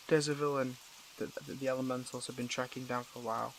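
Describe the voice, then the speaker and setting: A man playing a conflicted, tender superhero in an intimate audio roleplay, with a gravelly voice and halting, earnest, emotional delivery. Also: medium pitch, noisy background, close to an everyday voice. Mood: reluctant, earnest.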